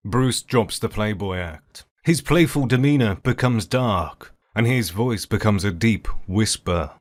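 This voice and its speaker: A man's voice: lowish voice, unhurried, natural voice. A man giving scripted narration for an audio drama as its narrator.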